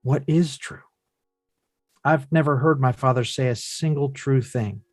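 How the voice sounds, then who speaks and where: A man's voice: medium pitch, measured pace, darkish tone, no echo, clean studio sound, faint music behind, close to an everyday voice. An actor playing a frustrated grown son who confronts his father, earnest and pressing, at a film script read. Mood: pleading.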